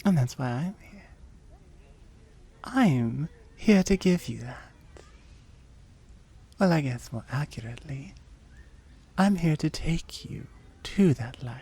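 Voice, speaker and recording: A woman voicing a seductive, smug oni demon in an intimate ASMR roleplay, breathy and often whispering as she slowly coaxes and teases. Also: low voice, animated, warm tone, exaggerated voice. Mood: coaxing. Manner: slow, coaxing, teasing.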